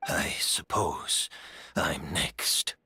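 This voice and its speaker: An actor playing a weary, courteous master of his craft in a video game, soft-spoken and resigned. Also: rumbling low, extremely slow, breathy edge, dry recording, whispered, exaggerated voice. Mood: resigned, reflective.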